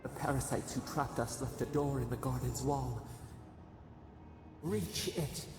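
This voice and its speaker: An ancient captive spirit in a video game, speaking very slowly in solemn, cryptic phrases. The voice creaks and hangs in long, cavernous reverb over prominent music. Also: mid-range voice. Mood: urgent, beckoning. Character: imprisoned ancient hive spirit pleading in plural voice, solemn. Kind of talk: video game cutscene acting.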